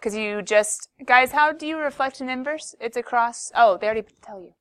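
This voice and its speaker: A woman's voice: on the high side, normal speed, fairly bright tone, clean tone, no echo, clean studio sound. Playful woman teaching a math class. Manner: playful, chatty, with sudden exclamations.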